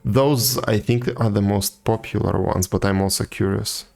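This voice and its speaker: Man, game streamer, lowish pitch, creaky, casual chat, low-key halting delivery. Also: steady tone, darkish tone.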